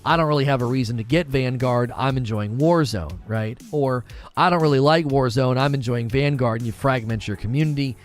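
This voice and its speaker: A man in his forties talks through a casual gaming stream at a middle pitch, loose and conversational, with plenty of thinking-aloud pauses. Faint music plays quietly underneath. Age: 40s. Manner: relaxed and conversational, musing aloud with fillers. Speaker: gaming channel host reading live chat. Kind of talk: casual livestream chat.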